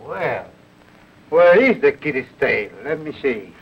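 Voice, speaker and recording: An actor playing a wary crook who humours a child in a coaxing way, in a 1930s film with muffled, noisy sound. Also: male voice, a little high, big pitch swings, slow pace, slightly rough, full character voice. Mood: playful, indulgent.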